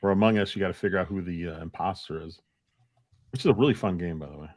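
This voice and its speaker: A man's voice: low voice, fast talker, darkish tone, dry recording. A man co-hosting a casual live-stream chat, speaking conversationally and relaying comments.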